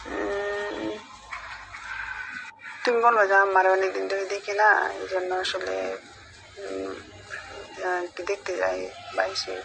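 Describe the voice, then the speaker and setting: A woman's voice: mid-range voice, level tone, slow, vocal fry, long pauses, over music. A middle-aged woman in a casual private phone conversation, hesitant and coaxing, often repeating herself. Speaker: mother-in-law on a phone call. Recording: noisy recorded phone call.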